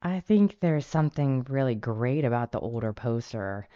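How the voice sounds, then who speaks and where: Smooth, mid-pitched co-host. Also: expressive, moderate pace, mellow, no echo, highs cut off. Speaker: podcast co-host. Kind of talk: casual film discussion.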